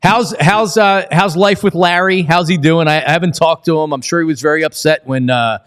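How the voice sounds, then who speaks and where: A man's voice: on the high side, brisk, a bit bright, clean tone. Middle-aged male sports show host. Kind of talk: casual sports chat.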